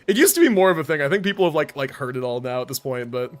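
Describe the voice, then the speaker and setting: An American man chatting casually on a live game stream in an animated, slangy, joking way. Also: mid-range voice, very fast.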